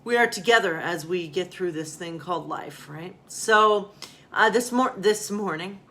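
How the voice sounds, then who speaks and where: A woman's voice: fairly low pitch, unhurried, bright, forceful tone, a little hiss or hum. A woman pastor speaking informally and a bit ramblingly to her listeners as friends, chatting and reading children's bedtime stories. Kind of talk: casual chat and storybook reading for children.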